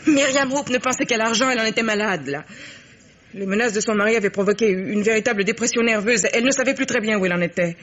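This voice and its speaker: An actress playing a frightened, defensive young woman accomplice under questioning, halting and insistent, in old French radio mystery style. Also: a little high, fairly bright tone. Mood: tense explaining. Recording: muffled, hissy old radio drama recording.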